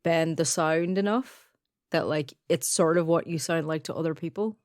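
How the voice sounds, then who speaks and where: Woman with a dry, lowish voice. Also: normal speed, no room sound. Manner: chatty, laughs often, many fillers. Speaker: podcast co-host. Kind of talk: casual chat. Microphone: headphones, studio mic.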